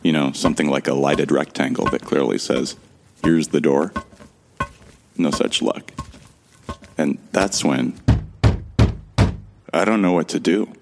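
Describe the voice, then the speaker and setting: A man's voice: rumbling low, unhurried, gravelly, long pauses, over music, heavily acted. An actor playing a bewildered amnesiac man who wryly narrates his ordeal in the first person for a sci-fi audio drama. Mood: wry, uneasy. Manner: wry, musing.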